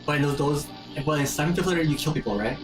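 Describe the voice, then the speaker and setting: A man's voice: a little high, fast talker. A man giving casual, explanatory commentary while a video game is played. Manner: explanatory, conversational. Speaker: gaming commentator. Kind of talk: casual let's play chat. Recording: distant mic, game music behind.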